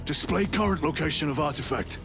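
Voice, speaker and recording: A man's voice: a little high, animated, brisk. A man playing a grave, resolute veteran space marine lieutenant in a video game, terse and commanding. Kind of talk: dramatic video game acting. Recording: loud music behind.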